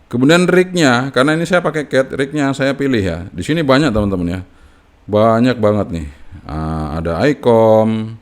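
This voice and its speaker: A man's voice: medium pitch, normal speed. A man giving a casual, unscripted step-by-step tutorial as a hobbyist, in an informal manner with frequent checking tags.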